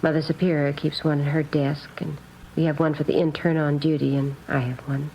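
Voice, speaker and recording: Old-time radio nun, low creaky voice, prim and earnest, muffled old recording. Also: female voice, flat delivery, brisk. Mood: earnest, precise. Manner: prim, proper, carefully enunciated. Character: earnest, precise, naive nun running a hospital pharmacy. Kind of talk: 1950s radio drama acting. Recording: dull old radio recording, faint noise.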